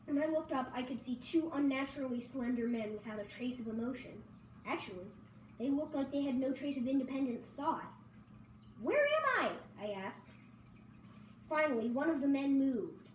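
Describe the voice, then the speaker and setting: Female narrator for a first-person story read, high-pitched, recorded in an echoing room with faint noise. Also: moderate pace, darkish tone. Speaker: reader at a table read. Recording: roomy echo, distant mic, faint noise.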